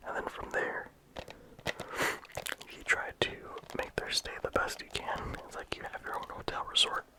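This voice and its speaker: A game reviewer talking casually in a soft ASMR whisper, with frequent "like" and "um" fillers. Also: measured pace. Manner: soft unvoiced whisper throughout.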